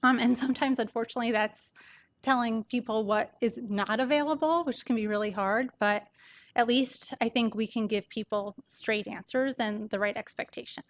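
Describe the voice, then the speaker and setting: A soft-voiced woman doctor gives an informal medical presentation to an audience, explaining things conversationally. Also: on the high side, steady tone, moderate pace. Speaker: palliative care doctor presenting.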